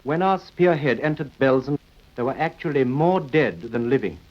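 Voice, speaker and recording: An actor playing a grave man giving a solemn, emphatic, measured wartime report. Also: mid-range voice, expressive, dull audio, slight background noise, lightly acted.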